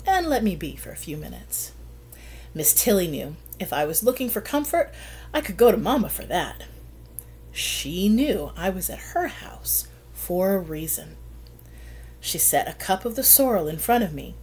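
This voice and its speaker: A middle-aged woman reading a children's picture book aloud, with expressive, deliberate storytelling and drawn-out phrases. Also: mid-range voice, a little hiss or hum. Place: small plain room indoors.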